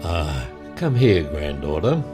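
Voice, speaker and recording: A very old, weary grandfather and president, acted by a man in an audio drama. He speaks slowly and solemnly with a widely moving pitch, pleading gently. Music plays underneath. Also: sing-song, exaggerated voice. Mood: grave.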